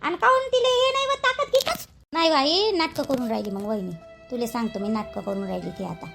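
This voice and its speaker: Woman acting a grumbling village mother, high-voiced. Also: brisk, creaky, a bit bright, faint music behind. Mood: suspicious, irritated. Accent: Vidarbha Marathi. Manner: gossipy, grumbling, repetitive. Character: complaining, suspicious village mother gossiping about her daughter-in-law. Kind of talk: village cartoon dialogue.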